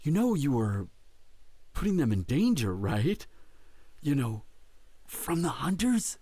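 Guy doing a laid back teasing vampire pal, super animated pitch, slow talker, dry close recording. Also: breathy edge, no echo, full character voice. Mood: concerned. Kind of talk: audio roleplay acting.

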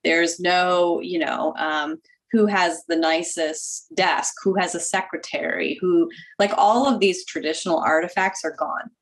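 A woman in her forties, a doctor guest on a video call, explaining practical tips in a clear, instructive way through wired earbuds. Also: on the low side, fairly even intonation, a little slow. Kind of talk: interview answers, practical tips. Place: home office with bookshelf.

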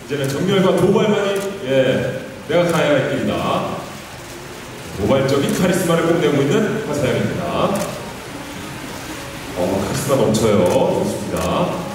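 A man's voice: medium pitch, big pitch swings, normal speed, long pauses, noisy background. A man hosting a live music showcase, upbeat and joking as he cues each performer. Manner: upbeat, joking, directing poses. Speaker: event emcee. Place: large indoor stage with music. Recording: roomy, far off, loud music.

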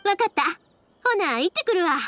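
A woman's voice: very high voice, very animated, fairly quick, fairly bright tone, exaggerated voice. A woman playing a cheerful young fireworks maker in an anime game, breezy and friendly, with a Kansai accent. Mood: cheerful, helpful. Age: young adult. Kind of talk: Japanese anime game voice acting.

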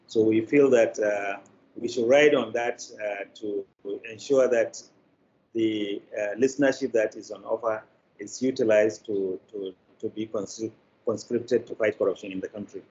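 An older man with a Nigerian accent giving deliberate, formally worded interview answers with frequent pauses. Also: lowish voice, fairly even intonation, darkish tone. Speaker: interview guest, media producer. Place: plain indoor room, video call. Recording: dull, noisy video call.

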